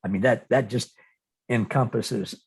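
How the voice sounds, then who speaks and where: A man interviewing in a reflective, conversational style, speaking haltingly and thoughtfully and often repeating words. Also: mid-range voice, steady tone, creaky, husky.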